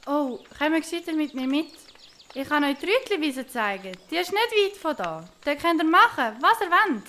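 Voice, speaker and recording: A woman's voice: sits high, big pitch swings, normal speed, bright, forceful tone, a little hiss or hum, exaggerated voice. A woman playing a sweet, naive young mountain girl, eager and wide-eyed, in a comic audio drama.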